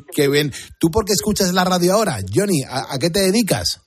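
A man with a Castilian accent from Spain, hosting live radio in a chatty, encouraging way with a husky voice. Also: high voice, lively intonation, moderate pace. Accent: Spanish, from Spain. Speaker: radio host.

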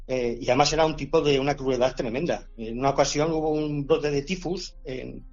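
A man's voice: mid-range voice, muffled recording. A man answering as an expert guest, talking casually with frequent fillers and restarts. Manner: hesitant, many fillers and restarts. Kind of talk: conversational explanation.

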